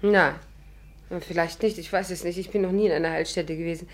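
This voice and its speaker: Wry, low-voiced radio drama heroine played by an actress. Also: female voice, fairly even intonation, a little fast, lightly acted. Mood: skeptical. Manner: wry, teasing, ironic. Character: witty, reluctant actress heroine narrating her crime adventure. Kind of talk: 1950s German radio drama acting.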